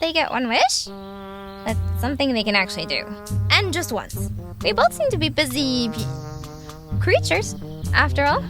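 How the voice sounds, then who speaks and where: Woman voicing a lively, high, inquisitive fox. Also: measured pace, long pauses, music in the background, heavily acted. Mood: determined. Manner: questioning, incredulous. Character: earnest, inquisitive, stubborn small fox. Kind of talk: audio drama character acting.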